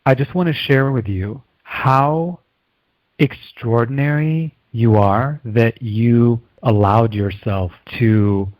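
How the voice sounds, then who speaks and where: A man acting as an energy healer, calmly and slowly guiding a client through deep breaths with soothing affirmations. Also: no echo, highs cut off. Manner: calm, slow, soothing guidance with steady affirmations. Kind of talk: guided healing session.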